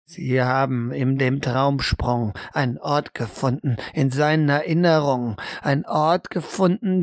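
A performer playing a stern, insistent interrogator in an audio drama, deliberate and emphatic. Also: medium pitch, no echo, studio quality, close to an everyday voice. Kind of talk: dramatic audio play acting.

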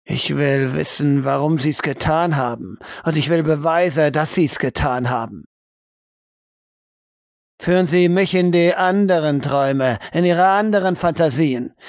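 A man acts out a harsh male doctor in a roleplay audio drama, grilling a prisoner. He speaks on the high side in a dry, close recording, formal and accusing, impatient for answers. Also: unhurried, dry recording. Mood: stern, threatening. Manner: accusing, demanding, formal address.

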